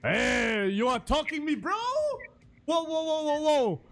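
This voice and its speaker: High-voiced excitable young man. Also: slight background noise. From Luxembourg. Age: 20s. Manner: excitable, repeats words in quick bursts. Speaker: streamer playing Roblox. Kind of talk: playful casual voice-chat banter.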